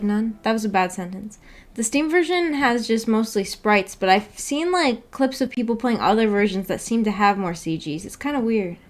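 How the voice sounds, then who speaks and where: A woman streamer chatting casually with viewers, rambling and correcting herself as she goes. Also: a little high. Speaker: streamer hosting a book club chat. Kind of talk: casual livestream chat, reading and answering comments. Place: indoors at home, upstairs room.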